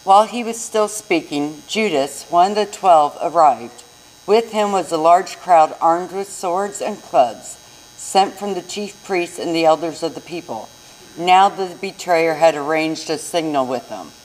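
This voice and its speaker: A woman's voice: lowish voice, a little slow, a little mellow, rough recording. A woman narrating a scripted scripture reading in church. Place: inside a church.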